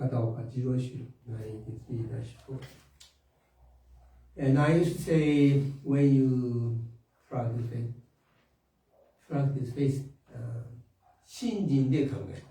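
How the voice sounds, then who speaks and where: A man delivers a sermon in a slow, halting way. His voice is very dark and mellow. He is picked up from a distance in a strongly echoing room. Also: mid-range voice. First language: Japanese. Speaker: minister. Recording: echoey room from a distant mic.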